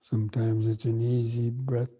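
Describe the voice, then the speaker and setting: A man guiding a breathing meditation, speaking softly and evenly with long pauses between phrases. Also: mid-range voice, breathy edge. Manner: slow, soft and even, with long pauses. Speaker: meditation teacher.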